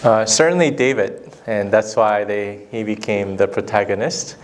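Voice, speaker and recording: Relaxed male filmmaker fielding questions. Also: fairly low pitch, a little hiss or hum. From Korea. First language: Korean. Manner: thoughtful, relaxed, slow deliberate phrasing. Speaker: documentary filmmaker answering questions. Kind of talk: casual Q&A with students. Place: school hall. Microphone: handheld microphone.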